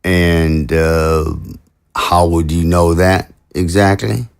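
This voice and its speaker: An actor playing an affable, diplomatic zombie president with a folksy, slightly hesitant manner in an audio drama. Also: male voice, sits low, little pitch movement, slow pace, gravelly, clean tone, exaggerated voice. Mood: measured, diplomatic. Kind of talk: dramatic dialogue acting.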